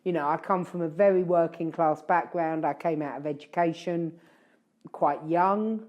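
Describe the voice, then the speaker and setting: A woman's voice: low-pitched, normal speed, dull audio. A woman hosting a casual chat, talking in a rambling, reflective way and often correcting herself. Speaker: podcast host.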